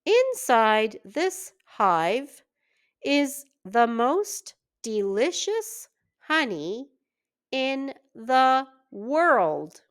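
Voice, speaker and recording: A young storybook boy, helpful but firm , acted out by a woman reader. He speaks very slowly and plainly, with a smooth, fairly high voice. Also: sing-song, full character voice. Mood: inviting.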